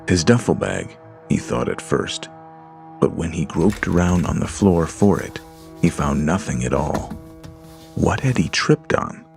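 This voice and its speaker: A man narrating a horror story in a slow, deliberate storytelling manner. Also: deep voice, gravelly, darkish tone. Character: Lars, a frightened man alone in a dark house. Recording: music under the voice.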